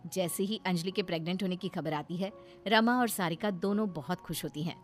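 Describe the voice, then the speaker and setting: A woman's voice: fairly low pitch, brisk, soft background music. Woman narrating a scripted story.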